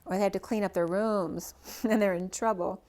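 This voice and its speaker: A woman's voice: medium pitch, steady tone, normal speed, a little mellow. A woman giving a general tarot reading for a star sign. She rambles loosely, stacks up one possibility after another and thinks aloud with frequent "right?" asides. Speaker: tarot reader.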